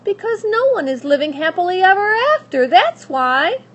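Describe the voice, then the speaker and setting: A woman's voice: very high voice, very animated, normal speed, lo-fi sound, voice pushed up. A woman voicing an emphatic, earnest and emotional little girl in a storybook reading. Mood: indignant. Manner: emphatic, protesting.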